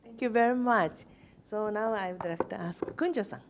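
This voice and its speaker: A woman's voice: mid-range voice, moderate pace, a little hiss or hum. A woman moderating a university video, formally introducing international students one by one. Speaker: faculty moderator.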